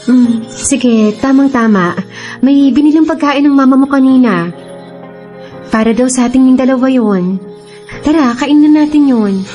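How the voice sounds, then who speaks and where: A devoted young Filipina girlfriend in a radio drama. Her high voice is tender, earnest and soothing, and light music plays behind her. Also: measured pace, warm tone. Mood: tender coaxing.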